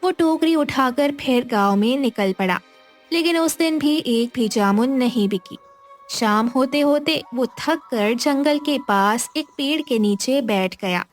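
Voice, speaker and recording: A woman's voice: high-pitched, measured pace, faint music behind. A woman narrating a scripted moral tale as a storyteller.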